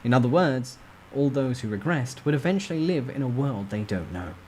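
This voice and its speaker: A man's voice: mid-range voice, expressive, brisk, darkish tone, a little hiss or hum. A man narrating a novel as a dramatic, theatrical reading. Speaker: storyteller.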